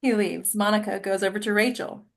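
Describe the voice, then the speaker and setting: A woman's voice: mid-range voice, fairly even intonation, a little fast, creaky. A woman reading stage directions as the scripted narrator of a table read.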